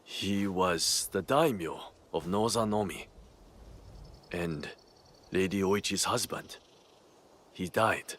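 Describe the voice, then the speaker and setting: Deep, big-bodied loyal retainer. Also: male voice, fairly bright tone, a little hiss or hum. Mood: reminiscing. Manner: deliberate, short weighty phrases. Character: big, strong, humble retainer from rice farm, friendly and loyal. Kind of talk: video game cutscene acting.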